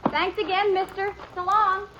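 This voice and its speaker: A woman's voice: very high voice, little pitch movement, normal speed. A woman playing a shy, polite teenage frontier girl with an American accent and a youthful, folksy, hesitant manner in a radio western. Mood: subdued. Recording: muffled old radio recording, faint hiss.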